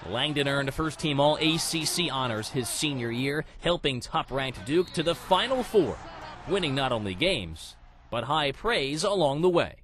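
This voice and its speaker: A man's voice: fairly high pitch, expressive, normal speed, noisy background. A man, a TV sports reporter, reading a scripted news feature in a punchy, polished style.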